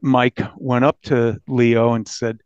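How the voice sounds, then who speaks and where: A man's voice: medium pitch, flat delivery, takes it slowly, darkish tone, smooth, no room sound, muffled recording. An American man recounting his investigative reporting conversationally as an interviewed journalist.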